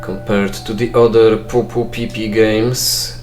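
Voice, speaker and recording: A man's voice: on the low side, flat delivery, measured pace, faint music behind. A young man with a Polish accent, a non-native English speaker, giving dry, self-mocking gameplay commentary and thinking aloud with fillers. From Poland. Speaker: let's play gamer.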